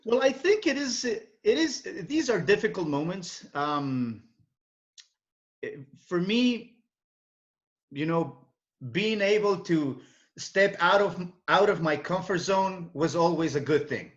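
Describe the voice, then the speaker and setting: Higher-voiced Ecuadorian man, muffled audio. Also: lively intonation, slow pace. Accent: Ecuadorian Spanish. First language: Spanish. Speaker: interview guest, English teacher. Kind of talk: casual interview. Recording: dull, lo-fi call audio.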